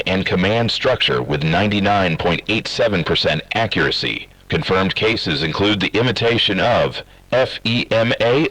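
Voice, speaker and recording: A man reading a scripted emergency alert in a measured, formal, official manner. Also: lowish voice, a bit bright, breathy edge, no room sound, poor audio. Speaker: emergency broadcast announcer.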